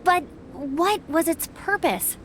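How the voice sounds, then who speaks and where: A woman's voice: very high voice, takes it slowly. An actress playing a polite, serious, inquisitive young woman in video game dialogue, over music. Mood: concerned, inquisitive.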